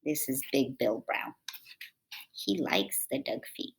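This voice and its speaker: A woman reading a picture book aloud to young children in an expressive way, with drawn-out emphasis and chatty asides. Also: extremely low pitch.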